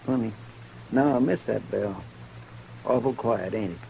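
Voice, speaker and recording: An actor playing a loyal, deferential deputy sidekick with a folksy drawl in a 1950s radio drama. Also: male voice, fairly low pitch, unhurried, vocal fry, dark tone, slight background noise, poor audio. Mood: easygoing.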